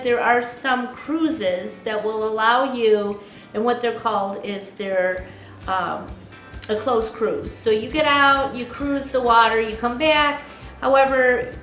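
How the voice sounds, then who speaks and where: A woman's voice: a little high, a bit bright, roomy sound. A woman co-hosting a casual advice show, explaining travel preparation step by step and quizzing her co-host. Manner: explanatory, asks co-host quiz questions.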